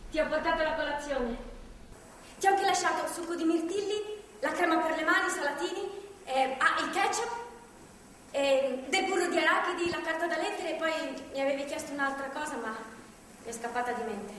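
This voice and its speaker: An actress on a theatre stage playing a chatty, nervously cheerful visitor who rambles with hesitant asides. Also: female voice, high-pitched, brisk, fairly bright tone. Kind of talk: live stage acting, monologue-like chatter. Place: theatre stage, picked up from far off. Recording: distant hall recording, echoey, faint noise.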